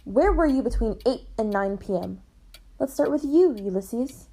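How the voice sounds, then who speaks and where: A woman's voice: a little high, expressive, normal speed, music in the background. A woman playing a level-headed, matter-of-fact investigator who keeps firm order in a puppet-show murder mystery. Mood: inquiring.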